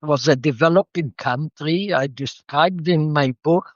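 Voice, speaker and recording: A woman's voice: deep voice, normal speed, no echo. An older woman from Israel, reflectively telling the story of her life as an interview guest, with a slightly muffled sound.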